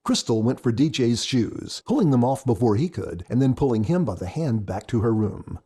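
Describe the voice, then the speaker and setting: A man reading scripted first-person audiobook narration in a measured storytelling manner. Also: mid-range voice, lively intonation, mellow, breathy edge. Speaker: author narrating his audiobook.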